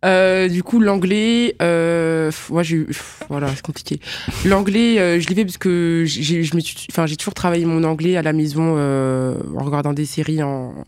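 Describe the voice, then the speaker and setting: A woman's voice: sits low, normal speed, darkish tone. A young woman student answering interview questions in a casual, hesitant way, full of fillers and restarts. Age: young adult.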